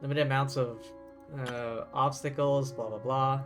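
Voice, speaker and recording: A man's voice: mid-range voice, steady tone, measured pace, a bit bright. A man streaming a video game, commenting casually and muttering asides to himself as he plays. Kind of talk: live game commentary mixed with reading dialogue aloud. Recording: game music behind the voice. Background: video game music.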